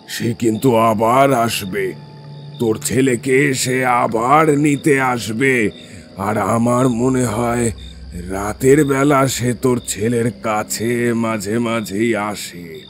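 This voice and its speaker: A man playing a stern, ominous village exorcist in a horror audio drama, solemn, deliberate and full of warning. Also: fairly low pitch.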